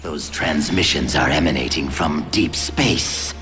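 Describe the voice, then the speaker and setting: An actor voicing a cold, commanding alien robot warlord with a harsh, gravelly, imperious delivery. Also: male voice, extremely low pitch, sharp tone, soft background music, full character voice. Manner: deliberate, imperious.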